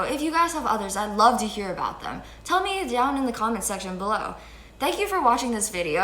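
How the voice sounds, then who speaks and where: Bright-voiced teenage girl explaining physics. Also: medium pitch. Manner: upbeat, chatty, self-deprecating. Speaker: host. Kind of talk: educational video explanation. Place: indoor room with window blinds. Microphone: fixed camera at chest-up framing. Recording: echoey small room.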